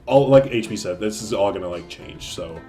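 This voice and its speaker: A man's voice: deep voice, expressive, brisk, mellow, soft background music. A man co-hosting a casual gaming chat, upbeat and talkative, leaning on "like" and "um". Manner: upbeat, laughs often, lots of "like" and "um". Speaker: co-host of a gaming series.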